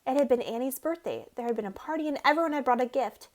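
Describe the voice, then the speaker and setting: A woman reading a children's storybook aloud as an expressive narrator. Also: high voice, fast talker. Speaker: storybook reader.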